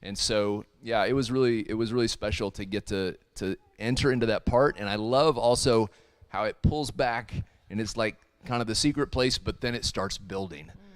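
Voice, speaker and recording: A man's voice: on the low side, normal speed, smooth, dry recording, studio quality. A man who leads worship, talking in a rambling, earnest way with many restarts on a casual livestream. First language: not Hebrew. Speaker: worship leader and ministry video actor.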